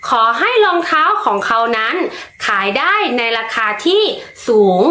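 A woman reading a children's story aloud, slow and lilting, putting expression into each line. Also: high voice, sing-song, pushed and bright, echoey room.

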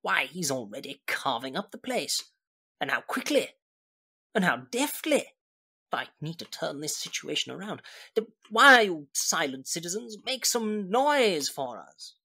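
An actor playing a slick, cheeky, sardonic rogue in a dubbed film comedy, with a wry theatrical delivery. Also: male voice, a little high, big pitch swings, measured pace, bright, forceful tone, slightly rough, heavily acted. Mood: wry, cajoling.

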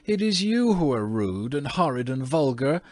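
A man's voice: lowish voice, sing-song, unhurried, no echo. A man voicing a prim, spirited young American girl in a storybook, earnest and scolding. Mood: indignant. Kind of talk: storybook character reading.

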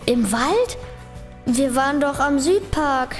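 A woman's voice: high-pitched, expressive, slow, faint music behind. A woman playing an anxious, questioning child detective in a children's radio play. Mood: curious.

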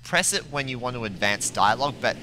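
A man's voice: mid-range voice, expressive, a little fast, bright, forceful tone, a little hiss or hum, rough recording. A man giving casual gaming commentary as one player in a group race video. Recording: game music behind the voice. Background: video game music.

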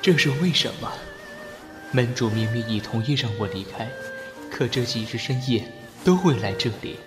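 Period audio drama: subdued, deferential male general played by a man, medium pitch, long reverb. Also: expressive, moderate pace, lightly acted. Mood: sorrowful. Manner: hesitant, deferential. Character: reserved, dutiful, melancholy male general of ancient China. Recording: long reverb, music under dialogue.

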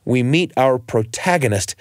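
Animated male radio host, dry studio sound. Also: medium pitch, normal speed, dry recording. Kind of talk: scripted radio narration.

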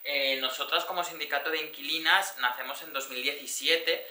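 Forceful, bright young Spanish man explaining. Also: a little high, steady tone, normal speed. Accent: Spanish from Spain. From Alicante, Spain. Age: young adult. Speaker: tenants' union activist, speaker. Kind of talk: informal political presentation. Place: indoor social-centre room before an audience. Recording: echoey room sound.

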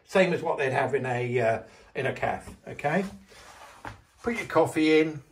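A man's voice: mid-range voice, lively intonation, normal speed, slight background noise. An older man in a home kitchen, chatting casually through a how-to with lots of fillers and restarts, filmed on a handheld phone. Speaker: home video maker.

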